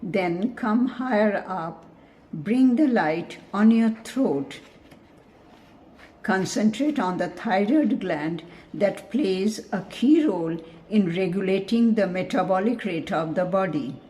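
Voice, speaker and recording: A woman leading a scripted guided meditation in a measured, soothing, instructive manner. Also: mid-range voice, expressive, mellow.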